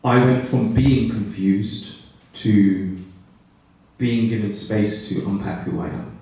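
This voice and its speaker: Deep-voiced man in an echoey hall. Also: dark tone, lo-fi sound. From Britain. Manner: reflective, pausing mid-thought. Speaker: panelist, care leaver. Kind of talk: personal testimony on a panel. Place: conference hall. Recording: echoey hall, voice far from mic.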